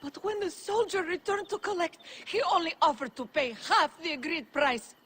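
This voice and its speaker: A woman's voice: very high voice, animated, normal speed, fairly bright tone. A woman playing a grieving, dignified ancient Egyptian widow in a video game, pleading in formal, emotional speech. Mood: aggrieved, pleading. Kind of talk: video game cutscene acting.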